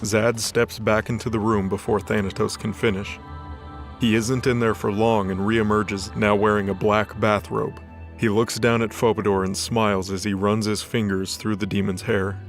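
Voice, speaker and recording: A man's voice: lowish voice, little pitch movement, normal speed. A man reading scripted fiction as the narrator of a story. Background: background music.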